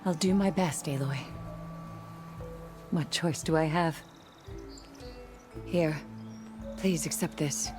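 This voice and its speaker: A grieving young tribeswoman thrust into leadership, in a game cutscene. Her voice sits very low and she speaks slowly, with a formal, hesitant manner. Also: music in the background. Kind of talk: video game cutscene acting.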